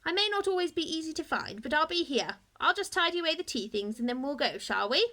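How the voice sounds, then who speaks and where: A woman's voice: sits high, sing-song, fast talker, bright, forceful tone, pitched above the performer's own voice, exaggerated voice. A woman voicing a kindly, hospitable old lady in a children's storybook. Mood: reassuring.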